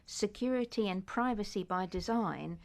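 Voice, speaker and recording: A woman interpreting a conference speech into English, measured and often pausing with hesitations. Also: mid-range voice, gravelly.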